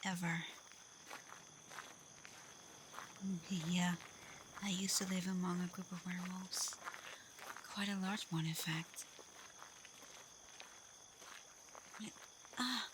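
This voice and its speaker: A woman playing a caring, protective, frank female werewolf pack leader in a soft, breathy, reassuring ASMR roleplay. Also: mid-range voice, background noise, lightly acted. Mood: gently reassuring.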